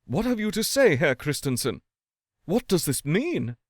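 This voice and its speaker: A man voicing an agitated, indignant lawyer in a sharp, insistent, exclaiming manner for a storybook. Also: on the high side, very animated, measured pace, no room sound, studio quality, pitched above the performer's own voice. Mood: demanding. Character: agitated, indignant male lawyer demanding answers.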